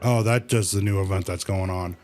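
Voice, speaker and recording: A man's voice: sits low, steady tone, a little fast, darkish tone, faint music behind. A man hosting a casual game livestream, rambling along and addressing his viewers as y'all. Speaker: livestream host. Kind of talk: casual game stream commentary.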